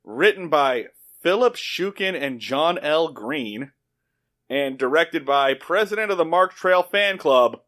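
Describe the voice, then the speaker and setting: Animated man in casual podcast chat. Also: on the high side, takes it slowly. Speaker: podcast co-host. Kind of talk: casual comedic recap of a TV episode.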